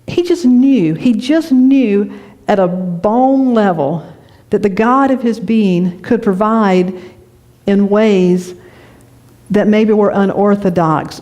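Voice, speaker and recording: A woman minister from North Carolina with a lilt delivers a sermon in a church sanctuary. She talks in a lively, conversational way, mixing personal stories with affirmations and breaking into laughter. Her voice sits at an ordinary middle pitch for a woman. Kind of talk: sermon with storytelling.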